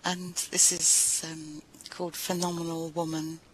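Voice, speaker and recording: A woman reciting poetry to listeners in a measured, deliberate way, with brief informal introductions. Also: deep voice, little pitch movement, slight background noise, rough recording. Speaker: poetry reader at a live event.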